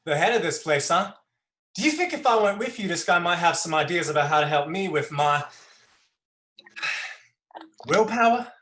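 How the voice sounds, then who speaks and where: A crude, wisecracking junkie scarecrow, played by a man in a dark comedic stage reading, his voice swinging widely up and down as he jokes about his own vices. The sound carries a roomy echo. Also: a little high, lively intonation, normal speed, long pauses. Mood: flirty, curious.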